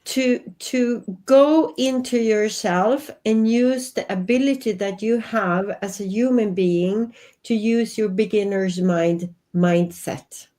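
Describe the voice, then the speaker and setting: A woman's voice: medium pitch, takes it slowly, hardly any pauses, warm tone. A woman in her fifties from Sweden, with a Swedish accent, talking earnestly and reflectively as an interview guest. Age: 50s. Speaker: guest, life and business coach.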